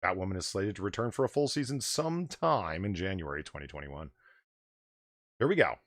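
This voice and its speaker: A man hosting a live stream, booming and blunt, swearing freely as he rants through the news. His voice sits low but leaps around in pitch, and the sound is dry and close. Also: very animated, a little fast, a bit bright, dry recording. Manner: booming, profane, ranting. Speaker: host of a live comics news show. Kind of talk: opinionated live-stream commentary.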